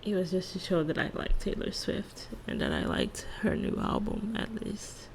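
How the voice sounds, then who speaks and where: A woman speaking softly in an ASMR monologue, hushed and halting, lingering on phrases and at times slipping into a whisper. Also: on the low side, huge pitch swings, vocal fry, a little hiss or hum. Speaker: ASMR creator and host. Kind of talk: soft-spoken ASMR role-play monologue with song analysis.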